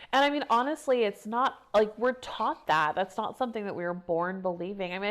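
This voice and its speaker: A woman in her thirties, a guest author and activist, speaking earnestly in a reflective podcast interview with frequent fillers. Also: fairly low pitch. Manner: earnest, animated when excited, many fillers.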